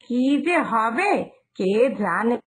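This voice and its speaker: A woman's voice: on the high side, big pitch swings, slow pace, a bit bright, slightly rough, heavily acted. A woman voicing a fretful village mother in a cartoon moral story, lamenting and admonishing in an exasperated way. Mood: worried.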